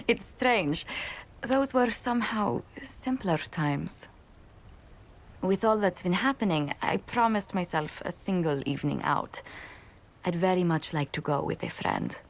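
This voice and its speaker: A refined lady adviser from a fantasy game. She speaks in a slightly low voice, with gracious manners and careful formal wording. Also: animated, slow pace, gravelly, pauses often, exaggerated voice. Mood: shyly hopeful. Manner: formal, precise, polite. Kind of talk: video game dialogue acting.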